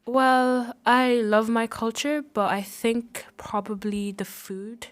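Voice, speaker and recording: A woman's voice: a little high, fairly even intonation, slow pace. A teenage female student from the Republic of the Congo, giving casual answers as an interview guest. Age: teens.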